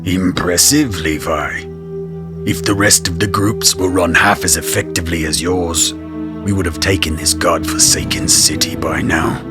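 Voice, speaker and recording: A swaggering lieutenant of an audio drama, a showman who relishes attention. His low voice creaks with gravel and moves very slowly, as if holding a crowd. Music plays behind him. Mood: approving, commanding. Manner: slow, deliberate, conspiratorial showman.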